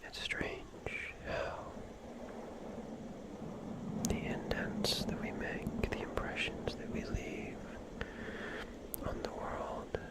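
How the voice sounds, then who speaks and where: An ASMR streamer whispering in a breathy, musing way, with long pauses between drawn-out phrases, in the style of eerie bedtime storytelling.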